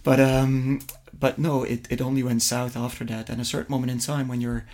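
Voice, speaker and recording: A middle-aged man from Flemish-speaking Belgium, a native Dutch speaker with a Flemish accent, answering interview questions conversationally and somewhat haltingly. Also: medium pitch, little pitch movement, a little mellow. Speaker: interview guest, investment fund executive.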